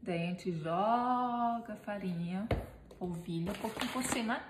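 Woman, 30s, home cooking vlogger; chatty, playful, slow and unhurried delivery, echoey kitchen with steady background noise. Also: medium pitch. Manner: chatty and playful, talks to viewers and her helper while kneading. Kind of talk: casual cooking demonstration.

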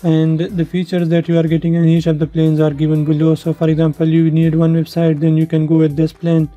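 Very dark-voiced man narrating a tutorial. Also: on the high side, monotone, brisk, muffled recording. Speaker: tutorial presenter. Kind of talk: instructional screen-share narration. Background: background music.

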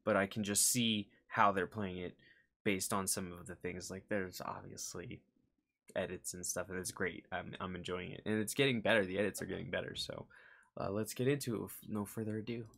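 Low-voiced young man chatting casually. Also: fairly even intonation, fairly quick, vocal fry, fairly bright tone. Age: young adult. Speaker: reaction video host. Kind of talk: casual reaction chat. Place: small room indoors.

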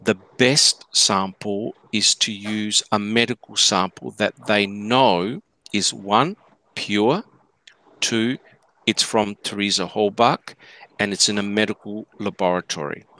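Low, bright-voiced man explaining point by point. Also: steady tone, slow pace, rough recording. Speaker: panelist answering listener questions. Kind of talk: explanatory live discussion.

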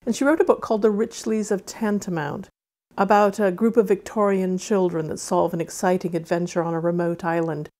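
A woman's voice: fairly low pitch, steady tone, a little fast, darkish tone. A woman author with a mid-Atlantic accent, from Wales and Arizona, speaking about and reading her fiction. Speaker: author reading and discussing own novel.

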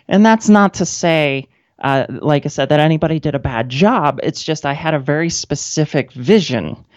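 A woman's voice: low voice, darkish tone, no echo. Wry woman, dull dry audio. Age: 30s. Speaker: radio guest, playwright. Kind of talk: casual interview chat. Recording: dull, band-limited audio.

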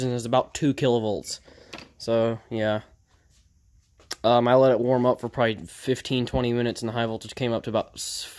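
A man giving a casual, off-the-cuff narration as a hobbyist repairer, speaking informally and haltingly into a handheld camera in an indoor workshop. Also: mid-range voice. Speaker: vintage TV repair hobbyist narrating his video.

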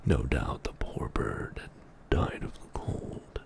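A middle-aged man reading a bedtime story as ASMR in a soft, breathy whisper, hushed and soothing, close to the microphone in a small indoor studio. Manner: slow, hushed, soothing narration.

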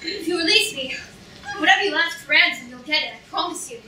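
A woman's voice: very high-pitched, lively intonation, a little fast. A young woman playing a proud, grieving, defiant young princess in a staged reading. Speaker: actor in a student staged reading. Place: lecture hall stage, indoors. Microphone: far camera in audience. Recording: echoey hall, distant audience-camera mic, noisy.

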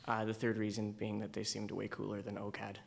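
A man's voice: on the low side, monotone, brisk, creaky, very noisy. A young man from Southern Ontario, a student on a panel, with a dry, wry manner and many "uh"s. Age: young adult. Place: university lecture hall.

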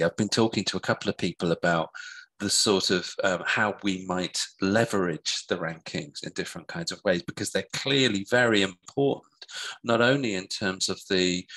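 A man's voice: low-pitched, fairly bright tone, low-quality recording. A middle-aged man speaking over a headset on a video call, answering thoughtfully with many restarts and fillers. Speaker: guest speaker. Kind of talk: online seminar discussion. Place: home room.

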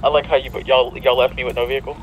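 A man's voice: mid-range voice, little pitch movement, racing, rough recording. A man talking casually as a player in an online roleplay game. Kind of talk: casual in-game radio chat. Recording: faint street and car noise.

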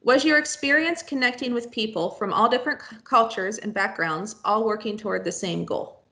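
A young woman moderating a video call from a room at home, reading out audience questions in a brisk, friendly way. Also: a little high, gravelly, pushed and bright. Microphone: video call webcam.

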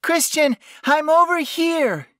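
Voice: high-pitched, slow, a bit bright, no echo, clean studio sound, voice pushed up. A narrator voicing a frightened young woman in an audiobook story, whimpering and pleading with a trembling voice. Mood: urgent, anxious.

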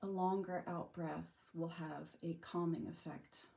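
A woman leading a guided meditation in a gentle, unhurried manner with long pauses. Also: fairly low pitch, steady tone, gravelly. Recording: music bed under the voice. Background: background music.

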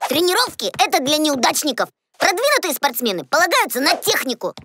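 A woman's voice: high voice, very animated, quick pace. A woman voicing a boisterous, cocky young boy bear cub in a children's cartoon, brash and boastful. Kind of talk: animated children's cartoon acting. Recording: loud music behind the dialogue.

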